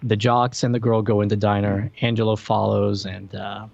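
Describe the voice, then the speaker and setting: A man co-hosting a podcast, retelling and picking at films in an animated, casual chat. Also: lowish voice, normal speed, vocal fry, dry recording. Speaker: podcast co-host. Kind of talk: casual movie discussion chat. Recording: slightly dull, lo-fi voice track.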